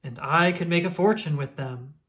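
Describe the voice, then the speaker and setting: A woman's voice: sits low, moderate pace, fairly bright tone. A woman voicing a greedy, scheming man who is a cartoon mayor, with a sly, gloating manner.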